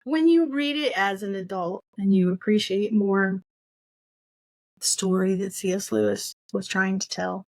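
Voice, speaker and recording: Woman, medium pitch, dry sound, casual book discussion, rambling, thinking aloud. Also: measured pace, darkish tone, dry recording. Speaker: book podcast co-host.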